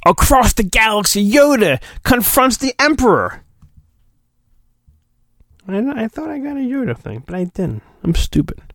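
A man hosting a podcast, giving a theatrical mock-dramatic reading of a storybook with drawn-out phrasing and goofy, rambling asides. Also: high voice, very animated, a bit bright, no echo, studio quality.

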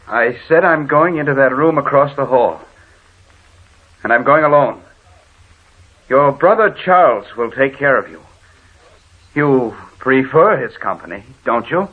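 An actor playing a brooding, irritable husband turning menacing in an old radio horror drama, curt and commanding. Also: male voice, medium pitch, animated, measured pace, long pauses, husky. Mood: cold, menacing. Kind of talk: vintage radio horror acting. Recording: muffled, phone-like old radio recording.

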